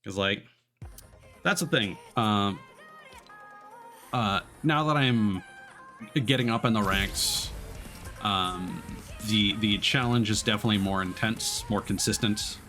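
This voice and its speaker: A man's voice: lowish voice, slow pace, pauses often, pushed and bright, soft background music. A man doing casual live gaming commentary, thinking aloud and chatting to his viewers. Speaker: livestreamer, gamer.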